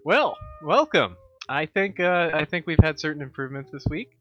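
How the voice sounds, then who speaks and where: A man's voice: a little high, expressive, normal speed. A man co-hosting a comedy podcast, bantering with dry, mock-serious sarcasm. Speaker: podcast co-host. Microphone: studio microphone. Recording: slightly dull sound with faint music.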